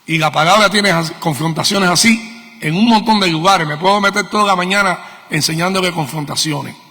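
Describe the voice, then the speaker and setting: A man's voice: high voice, normal speed, pushed and bright, soft background music. A middle-aged man with a Cuban Spanish accent preaching a sermon, teaching emphatically with questions and repeated key words. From Cuba. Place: church meeting hall. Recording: long reverb of a hall.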